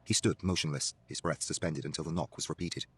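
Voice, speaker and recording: A man's voice: very deep voice, brisk, a little hiss or hum. A man giving a dramatic scripted narration of a horror story.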